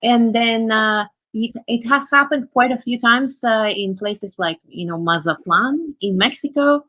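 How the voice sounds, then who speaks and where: A woman's voice: a little high, a little slow, pushed and bright, dry recording. A woman from Bulgaria chatting casually and frankly on a group call, swearing now and then. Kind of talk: casual chat.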